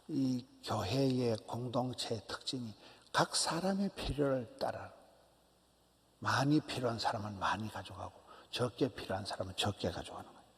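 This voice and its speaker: Man, 60s, Gyeongsang accent, pastor, animated sermon, short emphatic phrases, middle pitch, long church reverb. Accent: Gyeongsang Korean. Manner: animated, emphatic preaching with short repeated phrases and drops to a whisper. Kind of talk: early-morning sermon. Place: church sanctuary.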